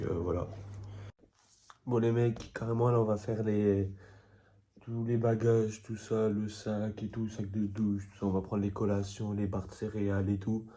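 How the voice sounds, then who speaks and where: A man's voice: fairly low pitch, a little hiss or hum. A young man, a vlogger and player, chatting casually and talking straight to his viewers. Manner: relaxed, talks straight to viewers. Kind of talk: casual vlog chat.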